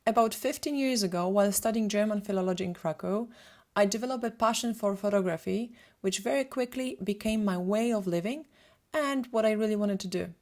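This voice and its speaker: A woman's voice: mid-range voice, fairly quick, darkish tone. A woman from Poland, a native Polish speaker with a Polish accent, giving a prepared webinar presentation as a photographer. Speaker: photographer and retoucher hosting a webinar.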